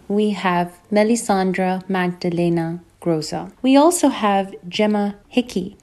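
Mellow-voiced woman radio host. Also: medium pitch. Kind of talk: scripted show introduction.

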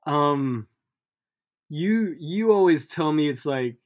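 A man in his thirties, a guest musician whose first language is Tagalog, talking in a relaxed, hesitant way with many pauses. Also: a little high. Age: 30s. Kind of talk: casual interview chat.

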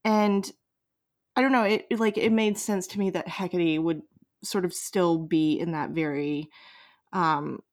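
A woman's voice: lowish voice, fairly even intonation, a little slow. A woman hosting a casual podcast discussion, informal and jokey in how she talks. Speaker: podcast host.

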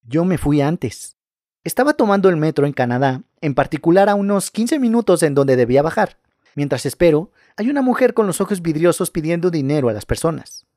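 A man reading scary first-person stories aloud in a calm, measured narration. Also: fairly high pitch, no room sound, studio quality.

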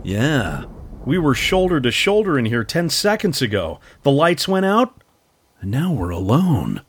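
An actor playing a chatty, slightly uneasy tour guest with a husky voice in a comedic audio drama. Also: male voice, mid-range voice, big pitch swings, a little slow, slight background noise.

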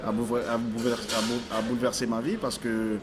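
A man born in Africa, a stylist talking as an interview guest, halting and restarting his phrases as he searches for words. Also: medium pitch, monotone, over music. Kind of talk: casual interview conversation.

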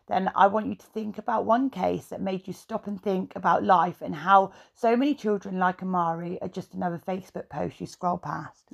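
Woman with a gravelly, low voice. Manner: chatty, tells the case with emotion. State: sore throat. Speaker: podcast host. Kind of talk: casual true crime podcast chat.

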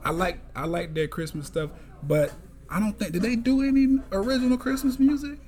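Lively, slightly high-voiced man. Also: a little fast. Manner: lively, laughs often, hypes others. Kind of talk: casual chat.

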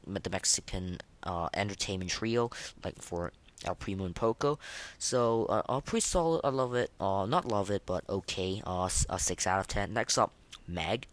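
A man hosting a podcast in casual, slangy, excitable chat, reviewing game voice lines. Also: on the low side. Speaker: podcast host.

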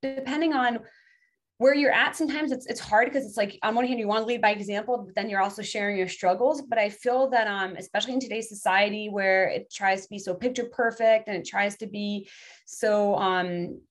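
A woman whose first language is French chats earnestly in English on a podcast, circling back and restarting her sentences as she thinks aloud. Her voice sits at an ordinary, middle pitch. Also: fairly even intonation, a little fast. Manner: earnest, rambling, many restarts. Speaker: podcast guest, language instructor. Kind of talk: casual interview chat.

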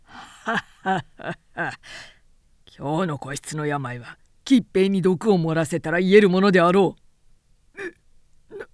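A man's voice: high-pitched, slow, pauses often, dry recording, clean studio sound. A woman narrator voicing a menacing male warlord who questions and commands in mocking, lordly archaic speech in a storybook reading. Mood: sardonic menace. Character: menacing male warlord, sardonic, imperious interrogator.

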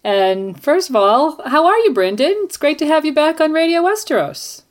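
A woman's voice: sits high, big pitch swings, normal speed. A woman hosting a podcast, presenting in a prepared yet conversational style. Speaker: podcast host. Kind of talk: scripted-sounding presentation and chat.

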